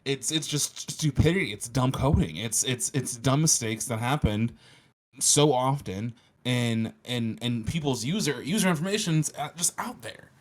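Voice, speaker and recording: A man's voice: medium pitch, expressive, moderate pace. A man in casual tech banter, blunt and sardonic, repeating phrases for emphasis. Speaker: co-host.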